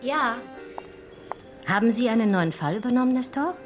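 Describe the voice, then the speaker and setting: A woman's voice: on the high side, lively intonation, normal speed, faint music behind, natural voice. An actress playing a prim young secretary in her twenties in a radio crime drama, speaking in a matter-of-fact way. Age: 20s.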